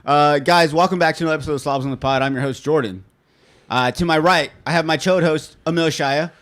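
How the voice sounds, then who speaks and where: A man's voice: a little high, quick pace, a bit bright, a little hiss or hum. A young adult man hosting a casual podcast, rambling and apologetic in an easygoing way. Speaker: podcast host.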